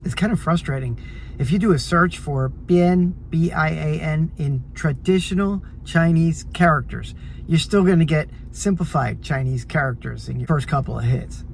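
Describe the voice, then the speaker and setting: A man's voice: fairly high pitch, moderate pace, vocal fry, slight background noise. A man, middle-aged, hosting a casual, chatty vlog that teaches language with rambling asides. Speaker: video host teaching Chinese characters.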